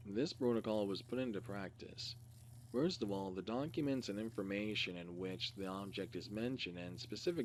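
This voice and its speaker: A man reads a scripted case file as narration, in a halting, word-by-word way. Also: deep voice. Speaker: reader. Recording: clearly noisy recording with hum.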